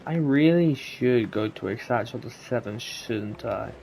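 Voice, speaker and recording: A man's voice: medium pitch, a little slow, gravelly, darkish tone, slight background noise. A man giving casual, offhand gameplay commentary, half talking to himself. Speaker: game streamer. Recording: faint game music behind.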